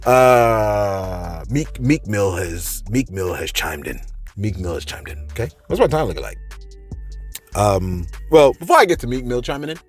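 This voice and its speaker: Husky, lively-voiced male commentary host. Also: measured pace, soft background music. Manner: animated, swings into high mock-angry bursts. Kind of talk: casual commentary reading posts aloud.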